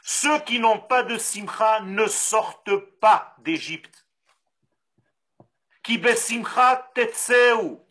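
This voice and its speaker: A man from Israel with an Israeli accent, a Hebrew native speaker giving a Torah lesson in French, animated and full of rhetorical questions. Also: sits high, very slow. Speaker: rabbi.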